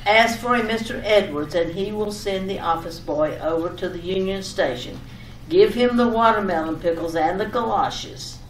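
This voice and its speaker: A woman's voice: medium pitch, moderate pace, natural voice. A woman playing a fussy, scatterbrained wife in an old-time radio comedy sketch, giving repetitive, over-detailed instructions. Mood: fussy, chatty. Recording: echoey room, distant mic, faint noise.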